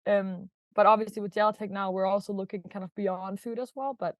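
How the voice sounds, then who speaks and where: A woman's voice: medium pitch, steady tone, a little fast, no room sound. Chatty Danish-born woman, dry sound. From Denmark. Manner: chatty, laughs often, many "like" and "kind of" fillers. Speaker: guest, startup founder. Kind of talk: casual podcast interview.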